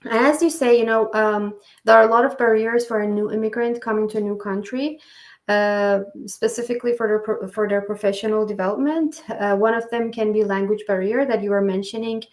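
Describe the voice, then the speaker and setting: A woman hosting a podcast interview, speaking in halting phrases full of fillers. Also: medium pitch, fairly even intonation. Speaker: podcast host. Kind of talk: interview conversation. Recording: echoey room.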